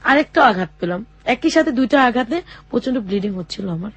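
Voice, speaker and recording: A young woman telling her own life story in an interview, speaking haltingly with many fillers and restarts. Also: a little high, expressive, no room sound. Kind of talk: personal testimony in a radio interview.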